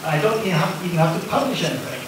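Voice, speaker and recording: A man's voice: on the high side, animated, racing. Belgian-raised man talking in an echoey hall. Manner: chatty, self-deprecating, some ums. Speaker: panel speaker, math teacher. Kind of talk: prepared conference talk with jokes. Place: large conference hall. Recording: echoey hall from far off, noisy.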